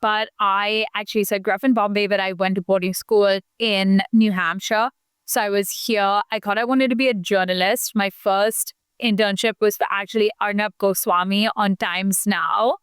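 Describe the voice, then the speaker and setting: Brief: young woman from India, outgoing podcast guest, smooth clear voice, dry close sound. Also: mid-range voice, steady tone, moderate pace, fairly bright tone, dry recording. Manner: chatty, many fillers, outgoing. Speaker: podcast guest, founder and matchmaker. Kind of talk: casual interview talk.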